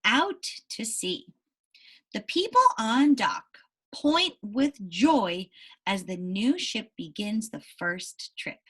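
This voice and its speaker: Animated, bright-voiced woman teaching young children. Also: mid-range voice, takes it slowly, slightly rough. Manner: instructive, checks understanding with "right?" and questions. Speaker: elementary school teacher. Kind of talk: reading a children's book aloud and explaining it to pupils.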